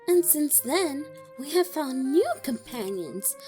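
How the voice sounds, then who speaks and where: A woman acts out a young female bard from a fantasy audio drama. Her voice is high and swoops with feeling, and she takes her time over her words. She comes across as earnest and quick to take offense. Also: faint music behind, full character voice. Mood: reflective. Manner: expressive, indignant when provoked.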